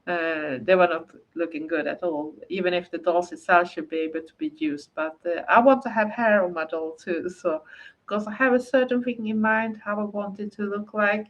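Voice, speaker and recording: A woman's voice: mid-range voice, moderate pace, highs cut off. A woman from Sweden with a Swedish accent, chatting casually on a livestream, speaking English as a second language and rambling with frequent hesitations. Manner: rambling, hesitant, many fillers and restarts. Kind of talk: casual livestream chat, answering viewer comments.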